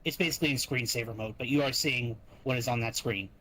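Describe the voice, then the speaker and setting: A young man in casual online roleplay, speaking deliberately and restarting phrases for emphasis. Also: medium pitch, fairly bright tone, poor audio. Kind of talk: casual improvised roleplay chat. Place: online virtual-reality chat.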